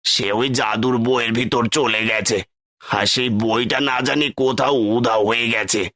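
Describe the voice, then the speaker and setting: A man's voice: medium pitch, fairly even intonation, measured pace, pushed and bright. A man voicing an honest young village husband in a cartoon story, speaking in an anxious, earnest way.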